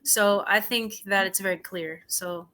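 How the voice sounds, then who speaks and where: A young woman tutoring over a video call, talking in a relaxed, hesitant way with many fillers. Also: mid-range voice, a little slow. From United States. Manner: relaxed, hesitant, lots of "like" and "I don't know". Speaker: English tutor. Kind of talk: casual conversation practice.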